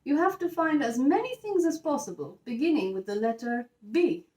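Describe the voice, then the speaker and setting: A woman voicing a gentle, courteous older gentleman who speaks tactfully in a children's storybook. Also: high voice, animated, normal speed, echoey room, recorded from a distance, voice pushed up. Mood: mysterious, encouraging.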